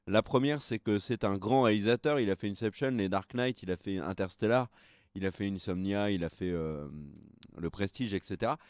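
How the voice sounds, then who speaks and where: A man's voice: fairly low pitch, brisk, a little mellow, smooth, dry recording, studio quality. A tired young man co-hosting a live film news show, rambling through the news with lots of hesitations. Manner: rambling, hesitant with many fillers. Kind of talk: casual live-stream chat.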